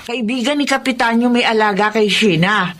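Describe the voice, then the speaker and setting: A woman's voice: fairly high pitch, normal speed, a bit bright, close to an everyday voice. An actress with a Filipino accent playing a kind, motherly woman in a radio drama. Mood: explaining, concerned. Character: Lota, kind motherly woman pleading for others. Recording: some noise and ambience behind.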